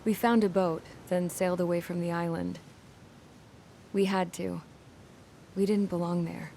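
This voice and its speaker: A woman's voice: on the low side, fairly even intonation, measured pace, vocal fry, warm tone, a little hiss or hum. A woman playing a tough, cynical, plain-spoken character who narrates and talks in a video game drama. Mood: grim, matter-of-fact. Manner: plain-spoken, matter-of-fact. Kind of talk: game cutscene narration and dialogue. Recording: music under the voice.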